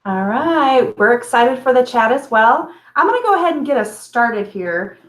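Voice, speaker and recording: A woman's voice: on the high side, sing-song, normal speed, reverberant. A woman co-hosting a live chat, asking questions in an upbeat, friendly way. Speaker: co-host moderating the chat.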